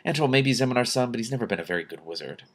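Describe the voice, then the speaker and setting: A man's voice: mid-range voice, animated, very fast, natural voice. A man voicing a practical, matter-of-fact princess with a dry, wry manner in a storybook reading. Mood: earnest.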